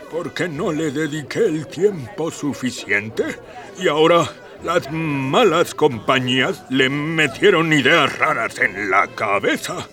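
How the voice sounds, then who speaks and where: Give the radio play its pompous emperor: a highish, theatrical voice that stretches words slowly and grandly. He sounds like an aggrieved father and ruler, a little off the mic over soft music. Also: recorded from a distance, full character voice. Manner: theatrical, drawn-out, grandiose speech. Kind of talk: scripted radio drama acting.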